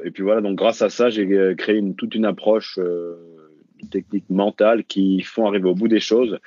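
French guy on a dry dull call, lowish voice, keeps restarting and saying euh. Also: darkish tone, no room sound. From France. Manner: hesitant, many restarts and fillers. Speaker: guest, ultra-distance runner and coach. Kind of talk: casual interview.

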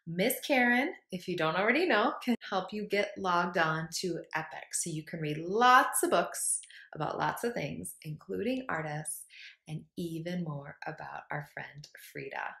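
Slow, gentle young woman teacher reading aloud. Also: lowish voice, animated, vocal fry. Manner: gentle, encouraging, asks the listener questions. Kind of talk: children's read-aloud lesson. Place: small room indoors. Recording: echoey room.

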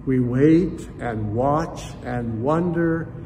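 An older man, a pastor, giving measured, formal welcoming remarks to open a worship service, recorded in a church sanctuary. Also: mid-range voice, warm tone, slight background noise. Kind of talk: scripted welcome and opening remarks. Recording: reverberant sanctuary with faint ambience.

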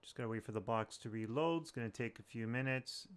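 A man's voice: mid-range voice, quick pace, clean tone. A man hosting a tech tutorial, talking viewers through steps in an informal, plain-spoken way. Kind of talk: casual step-by-step tutorial narration.

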